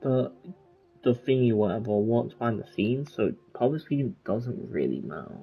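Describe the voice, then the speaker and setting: A man giving casual, halting, rambling commentary as he plays through a game. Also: medium pitch, expressive, vocal fry, husky. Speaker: game streamer. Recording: muffled lo-fi mic, game music behind.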